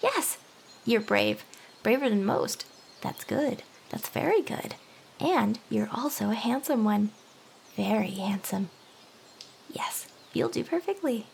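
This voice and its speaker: A woman voicing a hyper, smitten young female harpy in an anime ASMR roleplay, chirpy and coaxing, with soft whispered turns. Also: a little high, very animated, slow, gravelly, pauses often, slight background noise, full character voice. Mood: curious, coy.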